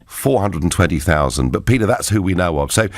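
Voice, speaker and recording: A middle-aged man fronts a radio phone-in, his fairly low voice leaping around as he makes his points. He sounds emphatic and opinionated, tossing in wry asides. The studio sound is close and dry. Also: expressive, brisk, no room sound. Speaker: radio talk show host.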